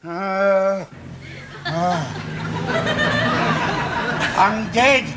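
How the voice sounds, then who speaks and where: A man's voice: high-pitched, extremely slow, a loud crowd. A man with an English accent playing a bumbling suburban husband in a TV sitcom, hesitant and theatrically flustered. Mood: dazed, pleading. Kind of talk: British TV sitcom acting. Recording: very noisy old TV recording.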